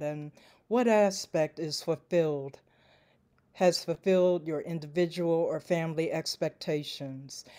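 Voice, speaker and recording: A woman moderating a video-call panel, posing questions in a deliberate, measured way. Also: low-pitched, mellow. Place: home room on video call. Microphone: video call microphone.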